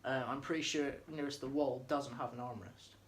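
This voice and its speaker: A man's voice: mid-range voice, steady tone, moderate pace, fairly bright tone, a little hiss or hum. A young man, co-hosting a casual podcast, talking in a laid-back, joking way and sometimes searching for words. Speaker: podcast co-host. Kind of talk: casual chat.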